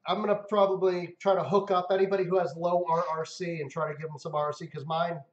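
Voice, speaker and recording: A man's voice: a little high, level tone, fast. A man hosting a casual livestream, talking upbeat to his viewers. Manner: upbeat, talks to viewers, gives away cars. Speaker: livestream host. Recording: roomy, dull lo-fi sound.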